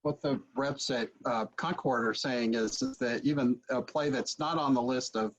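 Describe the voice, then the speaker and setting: A man's voice: mid-range voice, steady tone, normal speed. A man in his forties casually asking questions as moderator of an online session, over a video call. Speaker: webinar moderator. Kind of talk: casual interviewing. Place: book-lined home study, video call. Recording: dull, lo-fi video-call audio.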